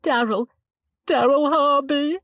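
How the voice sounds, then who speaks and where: A woman's voice: a bit bright, dry recording, clean studio sound, heavily acted. A woman voicing a tearful, shaken young woman. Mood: upset. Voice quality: trembling, halting and upset, rising higher when distressed. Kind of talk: dramatized audiobook reading.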